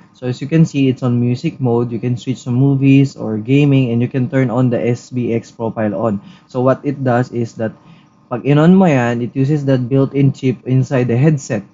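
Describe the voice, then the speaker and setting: A man's voice: mid-range voice, highs cut off. A man with a Filipino accent hosting a tech review, talking casually and mixing in Tagalog. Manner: relaxed Taglish code-switching, frequent "uh" and "so". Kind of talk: casual product review and demo. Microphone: headset built-in mic.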